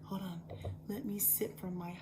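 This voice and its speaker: A woman's voice: mid-range voice, fairly even intonation, close to an everyday voice. Woman putting on a whispering preachy influencer. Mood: hushed preachy. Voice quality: breathy whisper. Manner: slow, hushed, instructive. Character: soft-whispering female lifestyle influencer, preachy. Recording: background noise.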